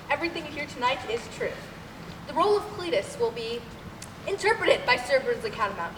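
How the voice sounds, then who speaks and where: A young woman playing a pompous, domineering radio playwright and announcer, grandiose and bossy, in theatrical stage comedy. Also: sits high. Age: young adult. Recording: roomy noisy stage hall, far mic.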